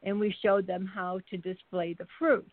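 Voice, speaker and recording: An older woman telling stories casually as a podcast guest, speaking haltingly and searching for her words. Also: mid-range voice, flat delivery, no echo. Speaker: podcast guest, mother of the host. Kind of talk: casual anecdotal storytelling. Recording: thin phone-like line.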